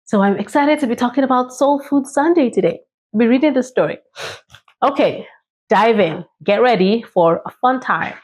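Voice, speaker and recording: A woman's voice: on the high side, normal speed, darkish tone. A woman hosting a children's read-aloud, playful and chatty, speaking straight to her young listeners. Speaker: children's storytime host and reader.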